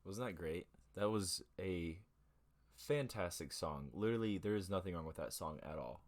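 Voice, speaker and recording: A man's voice: low voice, animated, moderate pace. A man hosting a casual music show, chatting in a rambling, laid-back way between songs. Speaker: host.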